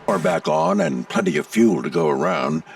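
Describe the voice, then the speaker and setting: Gravelly old-hand navy plane mentor. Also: male voice, fairly high pitch, wildly swooping pitch, normal speed, recorded from a distance, a little hiss or hum, heavily acted. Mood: storytelling. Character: seasoned male navy plane, gruff mentor and storyteller. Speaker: commanding instructor recounting past races. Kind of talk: animated game storytelling.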